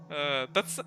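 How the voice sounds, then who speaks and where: Rambling male game streamer, non-native English speaker. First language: not English. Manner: rambling, repeats and rephrases himself, excitable bursts. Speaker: streamer playing and reading a visual novel. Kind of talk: casual let's-play commentary with reading aloud. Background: game music.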